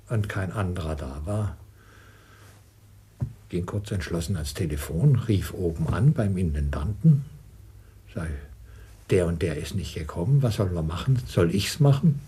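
A man's voice: sits low, darkish tone, husky. A male radio announcer with a southern German accent, telling a reminiscence with theatrical emphasis. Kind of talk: storytelling reminiscence.

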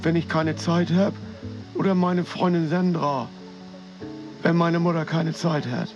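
An earnest, naive young footballer being interviewed. His voice is high for a man, his way of talking simple and halting, with music underneath. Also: fairly even intonation, dull audio. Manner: plain-spoken, simple, halting. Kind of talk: mock radio and TV interview.

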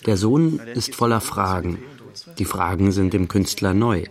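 The narrator of a literary radio drama. His voice is fairly low and matter-of-fact, laying out the story in short clipped phrases. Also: measured pace. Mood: wry, observational. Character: dry male narrator observing an artist's life.

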